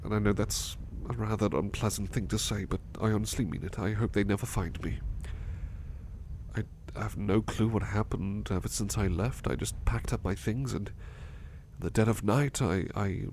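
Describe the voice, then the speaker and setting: A man playing a devoted, anxious butler with a haunted past in an intimate ASMR roleplay, speaking softly and haltingly with stammers. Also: fairly low pitch, slight background noise, natural voice. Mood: vulnerable, fearful. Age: middle-aged or younger adult. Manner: hesitant, stammering, soft-spoken, drifting into whispers.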